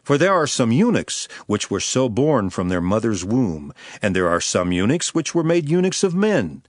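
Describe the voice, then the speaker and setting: A man playing a grave, earnest male teacher in a dramatized scripture reading, with solemn, emphatic, measured oratory. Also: medium pitch, dry recording, studio quality. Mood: firm teaching.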